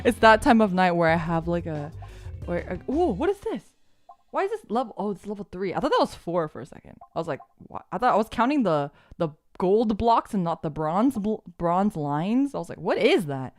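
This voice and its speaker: Woman streamer, casual game chat, rambling and giggly, very lively pitch, faint music behind. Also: fairly quick, no room sound, clean studio sound. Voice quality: lower voice for a woman. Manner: rambling, giggly, self-deprecating, lots of filler words. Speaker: VTuber streamer.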